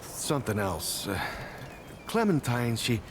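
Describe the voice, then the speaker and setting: An actor playing an earnest, bashful settlement mayor in a video game, talking in a hesitant, stammering, naturalistic way over ambient sound. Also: male voice, mid-range voice, very animated, a little slow, background noise. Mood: admiring.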